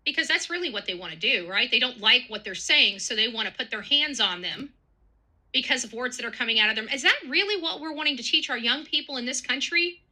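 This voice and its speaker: A woman with a high, very animated voice delivers casual commentary. She sounds very bright and piercing, with an emotional, dramatic way of talking. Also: a little fast. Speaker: online commentator.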